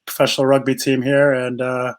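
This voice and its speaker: A man speaking casually as an interview guest, telling things in a halting, earnest way with many fillers. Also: mid-range voice, completely flat delivery. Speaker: guest, rugby coach and organizer.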